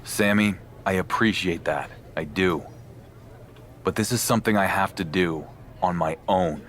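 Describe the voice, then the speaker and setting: Casting: a stubborn loner champion wrestler, low voice, resolute, game cutscene with slight background noise. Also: male voice, unhurried, fairly bright tone. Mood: grim resolve. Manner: terse, resolute, serious.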